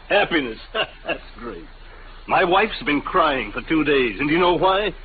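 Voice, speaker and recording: A man's voice: on the high side, very animated, takes it slowly, crowd noise, heavily acted. An actor playing a weary, embittered businessman and father in a 1950s radio drama, a sardonic, plain-spoken man on a tinny old recording. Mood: bitter.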